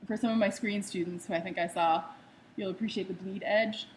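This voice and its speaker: A young American woman walks an audience through her artwork slide by slide. Her voice sits at an ordinary middle pitch, and she keeps a loose, chatty delivery full of small fillers. A faint hiss of noise runs under the recording. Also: fairly even intonation, a little fast, rough recording. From United States. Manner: conversational with frequent ums and uhs. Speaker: artist giving a talk. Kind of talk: slide presentation talk.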